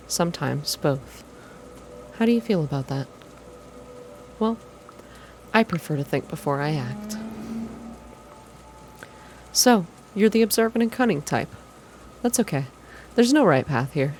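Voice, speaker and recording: Low-pitched woman, intimate ASMR game reading. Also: darkish tone, a little hiss or hum. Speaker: host of a game playthrough. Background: game music.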